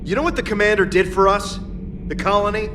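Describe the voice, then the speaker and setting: A man's voice: high voice, fairly quick, close to an everyday voice. An actor playing a loyal, indignant military crewman in a video game, earnest and accusing. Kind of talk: video game character dialogue. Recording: clear ambient background noise.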